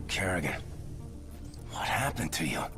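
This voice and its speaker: Game cinematic captain: lowish, gruff, grim and matter-of-fact, with music clearly underneath. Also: male voice, big pitch swings, a little slow. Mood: determined concern. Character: gruff, steady male starship captain. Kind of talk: dramatic video game cutscene acting.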